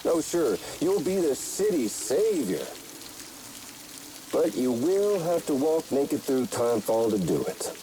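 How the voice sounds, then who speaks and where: An actor playing a sadistic, mocking masked terrorist villain in a video game cutscene, with a drawling, theatrical delivery. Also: male voice, fairly high pitch, sing-song, slow, mellow, slight background noise, poor audio. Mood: mocking, menacing.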